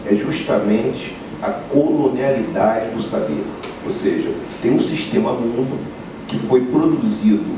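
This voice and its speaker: Man lecturing in a very echoey hall. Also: medium pitch. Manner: explanatory, poses rhetorical questions and answers them. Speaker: philosophy professor giving a lecture. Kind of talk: academic lecture. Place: university lecture room. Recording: very echoey lecture hall from far off, clear background noise.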